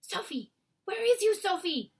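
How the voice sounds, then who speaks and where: A woman's voice: very high-pitched, big pitch swings, a little slow, a little hiss or hum, pitched above the performer's own voice, heavily acted. A woman voicing a kindly, innocent male giant in a children's storybook, earnest and dignified, with mangled folksy grammar. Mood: anxious. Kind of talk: acted children's storybook read-aloud.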